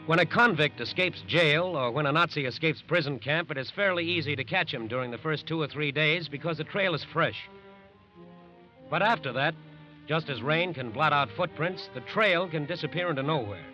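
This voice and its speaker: A man's voice: on the high side, moderate pace. A man narrating a 1940s radio crime drama in an emphatic, suspenseful scripted style. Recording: muffled old radio recording with music. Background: music.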